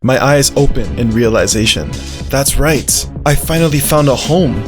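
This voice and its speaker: A performer voicing an earnest, childlike, food-loving male dog who narrates a visual novel in an animated, naive way. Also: medium pitch, moderate pace, music in the background, lightly acted. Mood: determined. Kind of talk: first-person visual novel narration with character dialogue.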